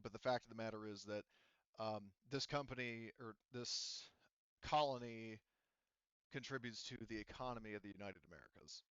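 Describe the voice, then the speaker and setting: A man running a tabletop game as game master, explaining the situation off the cuff in a halting, rambling way and often qualifying himself. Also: medium pitch, fairly bright tone, clean tone, no room sound, muffled recording.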